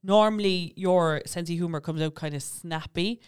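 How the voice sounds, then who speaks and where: A man's voice: high-pitched, moderate pace, clean tone. A man with an Irish accent chatting casually as a podcast co-host, telling comic stories in a giggly, sweary way.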